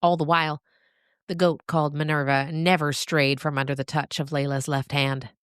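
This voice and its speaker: A woman narrating scripted fiction as a storyteller, with expressive, deliberate phrasing and dramatic pauses. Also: sits low, smooth, no echo, studio quality.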